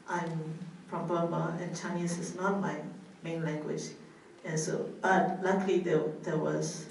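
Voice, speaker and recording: A middle-aged woman from Myanmar, a Buddhist nun, telling her story hesitantly with many pauses and restarts. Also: on the low side, darkish tone. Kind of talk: personal testimony talk. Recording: echoey room, far from mic, faint noise.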